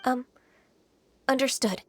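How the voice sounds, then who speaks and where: A woman playing a gentle, earnest young immortal adept in a video game, polite, formal and measured. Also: high-pitched, slight background noise. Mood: modest. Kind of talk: video game character acting.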